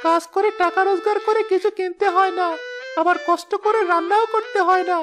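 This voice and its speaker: Sneaky food-stealing female ghost, cartoon villain, shrill high pitch, gloating, scheming, faint music behind. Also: steady tone, brisk. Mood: smug. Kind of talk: cartoon voice acting.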